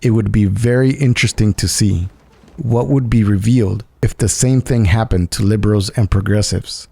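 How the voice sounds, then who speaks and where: A man's voice: on the low side, moderate pace, gravelly, darkish tone, slightly rough. A man reading a scripted political polemic as narration. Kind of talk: scripted narration, polemical essay read aloud.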